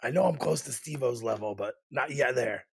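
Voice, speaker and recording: A man with a cold who sounds hoarse, co-hosting a casual gaming podcast and stumbling through halting restarts on a desk condenser mic. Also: medium pitch. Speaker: podcast co-host.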